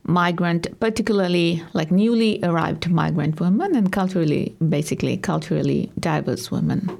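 Woman from Bangladesh, English, low gravelly voice; earnest, halting interview answers. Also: darkish tone, a little hiss or hum. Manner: earnest, halting with many ums. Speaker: community worker and guest.

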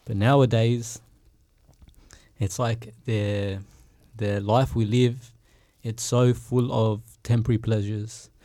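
Young man, podcast panelist, warm dark tone, flat steady delivery, earnest. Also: fairly low pitch, slow pace, no room sound, clean studio sound. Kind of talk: casual panel discussion.

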